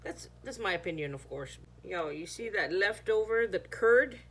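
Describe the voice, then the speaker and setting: A woman's voice: mid-range voice, lively intonation, moderate pace, fairly bright tone, slightly rough. A woman whose first language is Chamorro, chatting casually through a home how-to video, sometimes searching for words. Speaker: home cooking vlogger.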